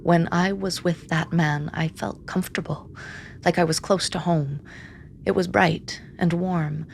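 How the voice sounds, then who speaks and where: An actress playing a grim, haunted seer who reads the dead, narrating in the first person with hushed, deliberate intensity. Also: female voice, lowish voice, steady tone, vocal fry, slight background noise. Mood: somber, wistful. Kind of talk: first-person dramatic narration in audio drama.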